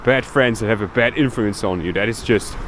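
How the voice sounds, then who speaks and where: A man's voice: on the low side, fairly quick, fairly bright tone. A young man from Belgium, rambling casually as he vlogs his travels, swearing now and then. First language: Dutch. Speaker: travel vlogger. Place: outdoors in a city street. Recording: faint street noise outdoors.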